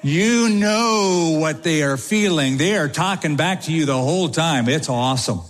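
Male pastor, slow deliberate preaching, slightly high voice, emphatic repetition, long reverb of a big church. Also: expressive. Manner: emphatic, repeats key phrases. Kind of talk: expository sermon. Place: church sanctuary.

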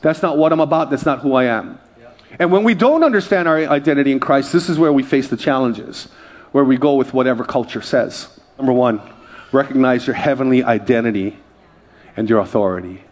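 A man's voice: mid-range voice, a little fast. A male pastor preaching a sermon with energy, reading scripture aloud and calling out to the congregation. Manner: energetic preaching with crowd call-outs.

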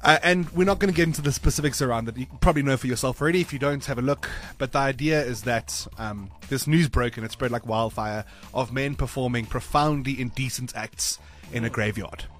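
A South African man hosting a breakfast radio show, chatting in a lively, expressive way and laughing easily. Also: medium pitch, fairly quick. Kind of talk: casual radio chat. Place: radio studio. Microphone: studio microphone.

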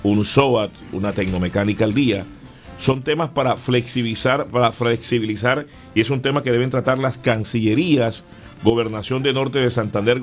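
Earnest radio host, measured delivery. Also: male voice, mid-range voice. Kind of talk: radio opinion commentary.

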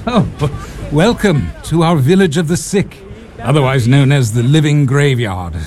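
A wry, courteous male nurse with a London accent, as an actor plays him in an audio drama. His pitch rises and falls with lively swings. He talks with an easy, worldly dryness. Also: unhurried. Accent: London English. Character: English male nurse with leprosy, wry hospital superintendent.

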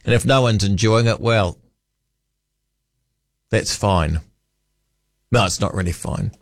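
A man's voice: lowish voice, slow pace, vocal fry, no room sound, studio quality. Wry, chatty New Zealand radio host man. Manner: chatty and wry, musing aloud. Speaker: radio talk show host. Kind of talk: casual late-night radio chat. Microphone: studio microphone.

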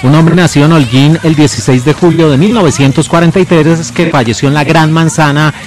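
Higher-pitched man narrating a film review. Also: steady tone, a little fast. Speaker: film presenter.

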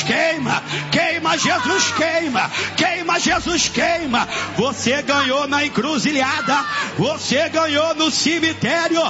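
A man with a Brazilian accent, a pastor leading a deliverance prayer in a commanding, repetitive chant. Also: very high-pitched. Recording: muffled, loud music and crowd behind. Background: loud music and congregation.

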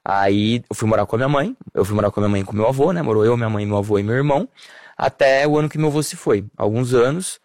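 A Brazilian man in his thirties, an interview guest, telling childhood memories in a warm, nostalgic way with many fillers. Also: medium pitch, quick pace, no room sound, clean studio sound. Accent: Brazilian Portuguese. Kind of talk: relaxed personal conversation.

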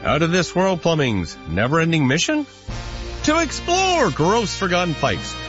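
A man's voice: fairly high pitch, big pitch swings, measured pace, a bit bright. A man voicing a pompous male starship captain in a comic radio ad, grandiose and dramatic. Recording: dull lo-fi radio audio with music.

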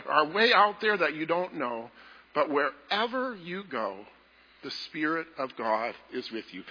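Guy pastor chatting gently w the kids, slightly high voice, a bit husky, echoey sanctuary. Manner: gentle, playful, talking to children. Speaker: pastor leading a children's message. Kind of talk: children's message in a church service. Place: large church sanctuary. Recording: echoey hall, dull lo-fi sound.

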